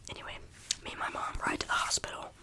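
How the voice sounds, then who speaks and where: Bright high whisper with a slight cough. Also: fast talker. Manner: rambling, casual, full of "like" fillers. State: slight cough from lingering illness. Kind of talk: whispered ASMR storytime.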